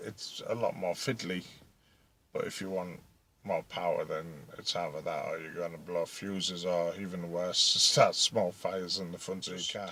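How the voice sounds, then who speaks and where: A man's voice: sits low, bright, forceful tone. A British man giving a casual DIY vlog explanation in an informal, hesitant, rambling way that tends to trail off. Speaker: hobbyist video host. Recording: echoey, clearly noisy home-video sound.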